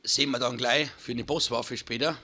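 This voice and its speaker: A hoarse man, chatting casually to viewers while commentating his own gameplay. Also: mid-range voice, fairly even intonation, normal speed, bright, forceful tone. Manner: chatty, addresses viewers as friends. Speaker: gaming channel host. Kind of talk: casual let's-play commentary.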